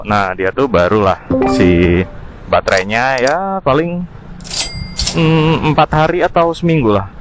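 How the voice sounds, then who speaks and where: A man casually reviewing gear in an informal way, mixing in English terms, recorded on a helmet intercom mic while riding. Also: medium pitch. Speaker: motovlogger. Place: outdoors, riding a scooter in city traffic. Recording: dull intercom audio with faint vehicle noise. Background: faint traffic and engine noise.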